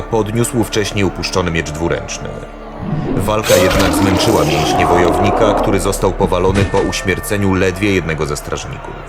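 A male storyteller reads scripted fantasy drama narration. His voice sits low, and strong background music plays under every line.

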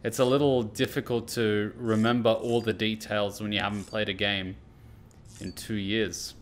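A man's voice: lowish voice, little pitch movement, normal speed, a little hiss or hum. A man giving casual gameplay commentary, talking to himself and swearing freely as he goes. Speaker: gaming streamer.

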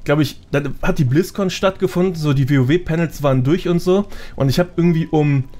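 A man's voice: fairly high pitch, brisk, mellow. A man talking as a podcast guest in casual, slangy, colloquial conversation. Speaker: podcast guest, gaming YouTuber.

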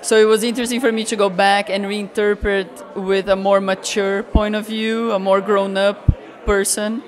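A woman's voice: mid-range voice, level tone. Thoughtful woman photographer in a gallery. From Brazil. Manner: thoughtful, searching for words with pauses. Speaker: photographer being interviewed. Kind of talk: gallery interview. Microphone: handheld mic held by interviewer.

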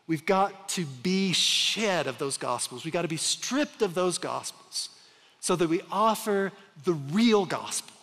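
A man's voice: a little high, fairly bright tone. Earnest man preaching in a reverberant hall. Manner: earnest, emphatic, with slow dramatic pauses and wide gestures. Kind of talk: sermon. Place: large church stage with reverb.

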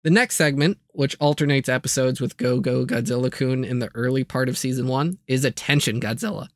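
A man's voice: mid-range voice, a little fast, no room sound, studio quality. A man delivering a casual, comedic scripted video review, with jokey asides and playful exaggeration.

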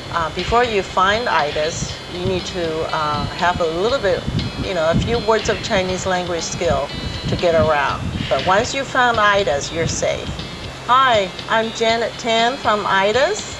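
A woman's voice: medium pitch, expressive, a little slow, poor audio. A woman on the program staff speaking in a friendly, encouraging way in an interview. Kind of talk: interview testimonial. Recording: music bed behind voice.

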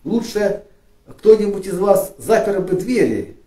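A middle-aged pastor from Ukraine preaches in a hesitant, restart-filled way that turns lifted and forceful when he reads scripture. His voice is mellow and dark. He sounds far from the mic in a roomy, echoing space. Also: high-pitched, big pitch swings. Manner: halting, many fillers and restarts, rising emphatic when reading scripture. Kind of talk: sermon. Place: church studio with flags and lit cross. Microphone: far camera. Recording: echoey room, voice from a distance.

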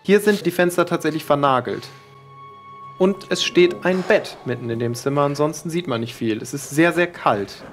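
A man narrating a horror tabletop game as game master, describing scenes in a deliberate, matter-of-fact way. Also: a little high, expressive, soft background music.

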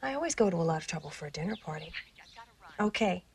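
A woman's voice: fairly low pitch, lively intonation, quick pace, gravelly. A genteel, breezily menacing suburban woman. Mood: breezy. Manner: polite, breezy, matter-of-fact. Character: genteel, cheerful suburban woman calmly planning to cook a boy. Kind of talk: film acting, understated menace. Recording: film soundtrack with faint noise.

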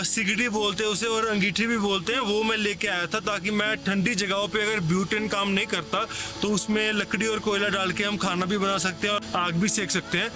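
A young man chatting to viewers as a travel vlogger at a cold outdoor campsite. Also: high-pitched. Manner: chatty, addresses viewers directly. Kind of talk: casual vlog narration.

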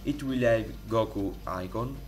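A man with an Italian accent, speaking English as a second language, walks through a modding tutorial in a halting way, with many fillers and restarts, recorded on a desktop microphone. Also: on the low side. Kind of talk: casual screen-recorded tutorial. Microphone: desktop USB microphone.

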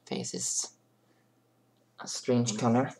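A hoarse young man chatting casually to his webcam in a small bedroom, rambling and clearing his throat. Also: mid-range voice, little pitch movement, takes it slowly, a little mellow. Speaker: vlogger. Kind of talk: casual makeup vlog chat. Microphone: webcam close up.

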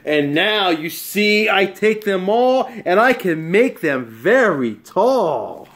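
A man's voice: high voice, big pitch swings, slow pace, a bit bright, full character voice. A man voicing an eager spotted animal in a storybook, boastful and pleading as it shows off. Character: eager spotted animal showing off magic tricks. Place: small bright room at home.

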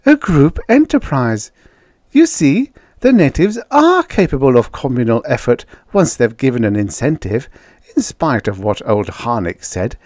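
A man's voice: extremely high pitch, fast, hardly any pauses, mellow, dry recording, studio quality, pitched above the performer's own voice. A man voicing a plucky, snappish young woman space settler in an audiobook, breathless and babbling. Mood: pleased. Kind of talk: science fiction audiobook character voice.

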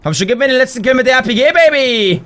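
A young man commentating his own gameplay on a headset mic, excitable and quick to shout, a little hoarse and tired. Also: extremely high pitch. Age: young adult. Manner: excitable, exclaims and shouts at the game. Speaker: gaming YouTuber. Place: home gaming room, indoors.

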